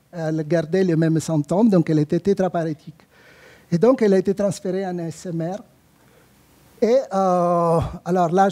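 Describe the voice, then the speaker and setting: A man's voice: sits high, warm tone. A man with an Italian accent, a rehabilitation physician presenting a clinical case at a conference, speaking hesitantly with many fillers and restarts.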